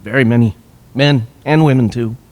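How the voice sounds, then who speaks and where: A man's voice: medium pitch, moderate pace, darkish tone, long reverb tail, close to an everyday voice. A man playing a chatty, hesitant rustic countryman with a homely, droll manner, in classical Shakespearean stage style. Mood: droll, cautionary. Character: rustic countryman, chatty and cautionary snake-bearer.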